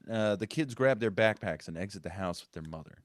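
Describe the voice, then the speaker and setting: A man's voice: lowish voice, lively intonation, a little fast, noisy background. A man reading stage directions as scripted narration at a live table read, occasionally correcting himself.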